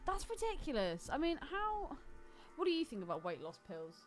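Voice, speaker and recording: A young British woman with a high, very animated voice chats casually as a podcast co-host. She is irreverent and crude in her humour, and her pitch swings widely as she talks through films. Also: normal speed, faint music behind. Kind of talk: casual, joking film chat.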